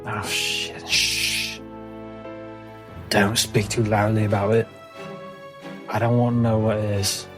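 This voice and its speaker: A man as an earnest sanctuary fellow, slightly deep, wary, informal, pleading, fantasy tabletop game, music underneath. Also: flat delivery, slow pace, long pauses.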